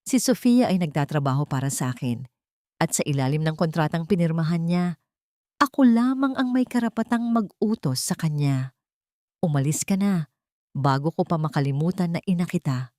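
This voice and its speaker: A woman's voice: low voice, lively intonation, normal speed, warm tone, no echo, clean studio sound. A woman playing a proud, wounded rich man with a commanding, strained manner in an audio drama. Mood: defiant.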